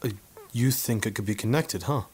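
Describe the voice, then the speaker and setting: A man playing a coolly proud 1950s hitman in a noir radio drama, confiding in a deliberate, wry way. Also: mid-range voice, a little mellow, a little hiss or hum, lightly acted. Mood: friendly, guarded. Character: coolly proud 1950s hitman photographer narrating his confession.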